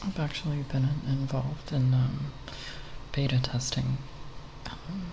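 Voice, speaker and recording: An ASMR creator speaking softly and breathily, half whispering in a halting, unscripted ramble with long pauses, close to the microphone. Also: mid-range voice, steady tone, background noise. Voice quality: soft, breathy, partly whispered.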